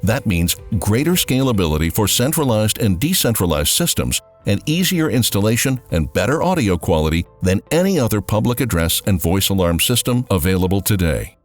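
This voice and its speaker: Lively low-pitched male corporate narrator. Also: brisk, few pauses. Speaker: promotional video narrator. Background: music.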